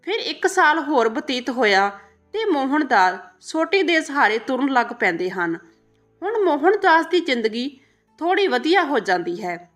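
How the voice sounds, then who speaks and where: A woman storyteller reads a scripted moral tale. Her voice is high, and her pitch moves expressively up and down. Soft music runs behind her narration. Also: big pitch swings, normal speed.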